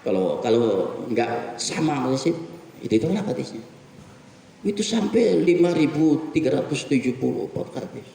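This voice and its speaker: Middle-aged male preacher, chatty and lively, asking rhetorical questions; far off in a booming, echoey mosque hall. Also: moderate pace, gravelly, a little hiss or hum. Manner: conversational, animated, rhetorical questions. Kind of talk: weekly mosque sermon.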